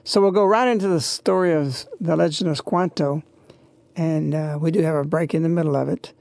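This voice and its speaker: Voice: medium pitch, fairly even intonation, fairly quick, vocal fry, a little mellow, dry recording. A radio host, talking informally and reverently in a rambling devotional introduction to a broadcast.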